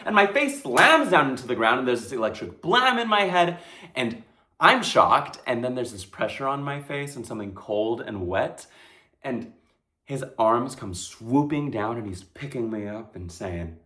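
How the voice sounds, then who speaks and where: A man's voice: medium pitch, very animated, normal speed, sharp tone. Man acting an intense, terrified young street hustler. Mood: pained, agitated. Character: young street hustler, terrified, dazed, recounting brutal violence. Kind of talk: dramatic stage monologue. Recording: echoey room.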